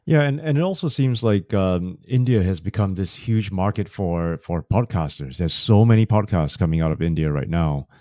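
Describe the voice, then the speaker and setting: A man's voice: sits low, moderate pace, hardly any pauses, mellow, no echo, studio quality. A man interviewing a guest on a podcast in a conversational style.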